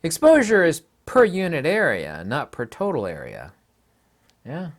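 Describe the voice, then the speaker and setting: A man's voice: a little high, wildly swooping pitch, a little slow. A man giving an opinionated photography vlog monologue, speaking in an emphatic, blunt and scornful way. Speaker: photography YouTuber.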